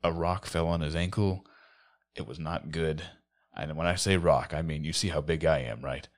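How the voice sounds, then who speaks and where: A man playing a tabletop role-playing game, improvising casually in a halting, plain-spoken way. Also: a bass voice.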